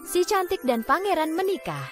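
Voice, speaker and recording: A woman narrating a children's fairy tale in an expressive, scripted storytelling style. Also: extremely high pitch, normal speed. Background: background music.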